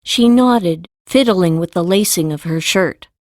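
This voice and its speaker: A woman narrates a story for an audiobook at a very slow, deliberate pace. Her voice sits fairly low, and the recording is dry, with no room sound. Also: darkish tone, no room sound.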